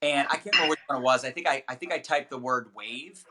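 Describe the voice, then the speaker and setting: A man's voice: mid-range voice, fast talker, very noisy. A man giving chatty, upbeat coaching tips as the guest of a casual livestream interview, with many fillers. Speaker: network marketing trainer, guest.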